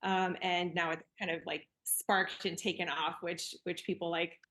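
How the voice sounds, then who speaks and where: A woman's voice: fairly low pitch, level tone, normal speed. Easygoing woman in her forties reminiscing. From Cameroon. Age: 40s. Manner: warm, easygoing, with fillers and laughs. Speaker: podcast guest. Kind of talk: casual reminiscing.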